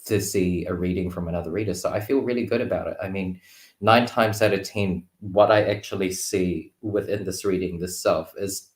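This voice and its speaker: A man with a low voice speaks casually as a guest in a conversation. He thinks aloud in a reflective, loosely phrased way. The sound is roomy, with noticeable echo around his words. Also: moderate pace. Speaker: guest tarot reader. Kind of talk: casual chat.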